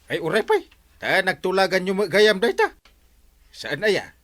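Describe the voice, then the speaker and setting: Flustered stammering village captain, acted by a man, Ilocano accent, animated intonation, highish voice, comedy drama. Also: moderate pace, heavily acted. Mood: dismissive, exasperated. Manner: sputtering, repeats hesitant syllables.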